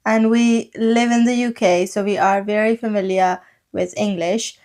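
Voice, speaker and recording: A young woman with a Pakistani accent chats through a podcast, her voice sitting at an ordinary middle pitch. Urdu is her first language, and she explains things at length, leaning on "like" and "so" as she goes. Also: steady tone, moderate pace, a little mellow. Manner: chatty, explains at length with many "like" and "so" fillers. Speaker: podcast co-host. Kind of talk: casual conversational podcast.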